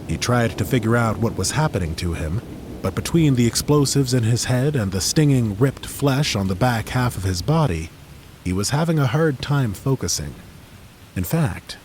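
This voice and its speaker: A man narrating a fantasy audiobook in a measured, steady storytelling manner on a close studio mic. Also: fairly low pitch, slight background noise.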